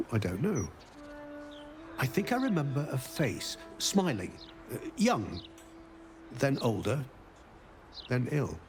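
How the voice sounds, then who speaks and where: A man's voice: medium pitch, exaggerated voice. An actor playing an amiable, befuddled man with lost memories in a video game, rambling and trailing off wistfully. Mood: confused. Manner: rambling, absent-minded, trailing off.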